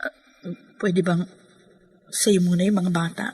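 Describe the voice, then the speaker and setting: A woman's voice: on the low side, fairly even intonation, a little slow, mellow, slightly rough. A Filipino woman playing a weary, devoted young mother and wife in an emotional, pleading radio drama style. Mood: worried, resolute. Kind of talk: Tagalog radio drama acting.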